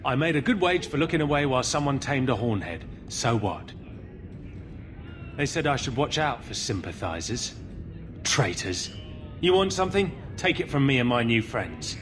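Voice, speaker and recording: An actor playing a drunken, boastful, cowardly guard in a video game, with a rough, colloquial way of talking. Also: male voice, mid-range voice, animated, normal speed, over music, close to an everyday voice. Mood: drunken bluster. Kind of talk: video game cutscene acting.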